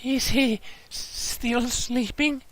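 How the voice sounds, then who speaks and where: A man's voice: very high voice, steady tone, slow, a bit bright, voice pushed up, exaggerated voice, rough recording. A man putting on a shy, stammering young woman. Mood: timid.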